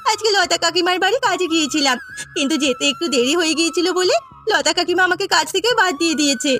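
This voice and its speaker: A woman's voice: extremely high pitch, quick pace, bright, forceful tone, soft background music. A woman voicing a poor, earnest young village housemaid in a cartoon story, with a plaintive, imploring manner. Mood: despairing. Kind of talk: Bengali cartoon story voice acting.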